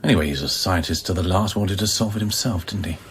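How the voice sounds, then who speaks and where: An actor playing a wry, probing, world-weary scientist in a radio play, speaking in a dry, measured, confiding way. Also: male voice, low-pitched, a bit bright, a little hiss or hum.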